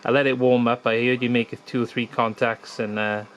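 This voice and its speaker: A man's voice: medium pitch, level tone, normal speed, fairly bright tone. A man chatting in an easygoing way during a casual amateur radio contact.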